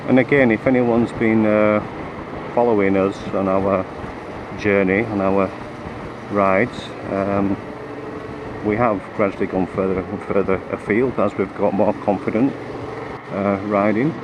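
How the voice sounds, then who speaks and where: A man riding a motorcycle with a cold-roughened, croaky voice, chatting through the route with lots of ums and restarts. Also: fairly low pitch, fairly even intonation, measured pace. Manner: hesitant, many fillers and restarts. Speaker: motorcycle vlogger. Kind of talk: rambling ride commentary. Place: on a motorcycle on the road. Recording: road and engine noise behind.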